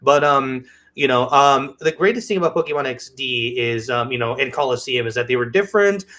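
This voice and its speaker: A man's voice: medium pitch, brisk, pushed and bright. A man chatting casually as a podcast co-host, telling things in an enthusiastic, rambling way. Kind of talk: casual chat.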